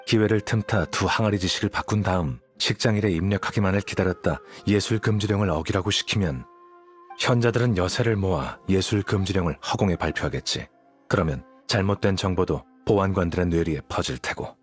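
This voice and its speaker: A man's voice: low voice, a little fast, natural voice. A man playing a cool, calculating scholar in a video game, explaining things in a composed, matter-of-fact way. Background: background music.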